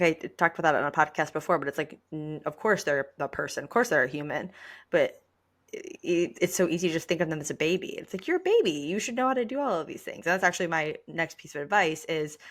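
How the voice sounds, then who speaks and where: A young adult woman speaks as a podcast co-host in a slightly low-pitched voice. She gives casual, conversational advice, leaning on key phrases with informal emphasis. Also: expressive, fairly quick, fairly bright tone.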